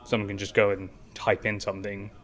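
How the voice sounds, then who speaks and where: Even-toned man with a lowish voice. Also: a little fast. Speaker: guest, tech company founder. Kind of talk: casual interview explanation.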